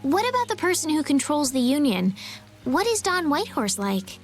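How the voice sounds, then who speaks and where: Video game role: a gentle, well-mannered young heroine, high and lively voice, earnest delivery, music behind. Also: female voice, moderate pace. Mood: concerned curiosity. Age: young adult. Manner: polite, careful, softly earnest.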